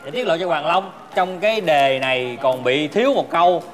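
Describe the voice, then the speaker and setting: A man's voice: on the high side, lively intonation, moderate pace. A man in his thirties bantering on a comic family game show in a jokey, mock-formal way. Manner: jokey, mock-formal, stumbles while reading. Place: indoors in a large shop or showroom. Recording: music bed under the speech. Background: loud background music.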